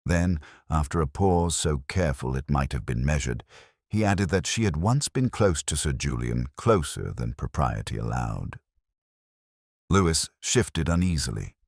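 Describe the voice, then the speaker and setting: Very deep-voiced man narrating detective fiction. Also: expressive, gravelly, breathy edge, no room sound, clean studio sound. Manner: measured, brooding, literary phrasing with deliberate pauses. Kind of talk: first-person detective story narration, scripted.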